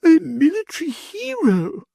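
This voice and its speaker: A man reading an audiobook, voicing a haughty, scheming noblewoman in a high, shrill, falsely sweet voice. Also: huge pitch swings, a little slow, gravelly, warm tone, no room sound, heavily acted.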